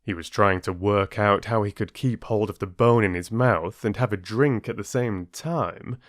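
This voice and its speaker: A man working as a storyteller and host, speaking in an expressive, deliberate audiobook style. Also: fairly low pitch, no echo, studio quality. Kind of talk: expressive audiobook narration and listener address.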